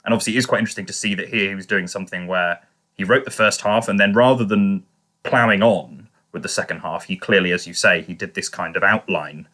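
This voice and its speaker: A man with a fairly low but bright, forward voice chats as a podcast co-host. He offers analysis in a tentative, qualified way. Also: quick pace. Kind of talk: casual analytical discussion.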